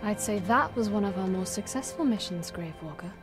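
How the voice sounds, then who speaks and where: A woman's voice: medium pitch, expressive, fairly quick, music in the background, lightly acted. An actress playing a brave, steadfast noblewoman warrior in a video game, earnest and composed. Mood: wry, companionable.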